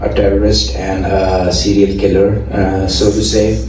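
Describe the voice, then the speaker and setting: A man with an Indian accent doing a stand-up comedy set, talking haltingly with lots of filler sounds and restarts. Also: sits low, little pitch movement, a little mellow, slight background noise. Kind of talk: stand-up set at an open mic. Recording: echoey room, mic heard from a distance.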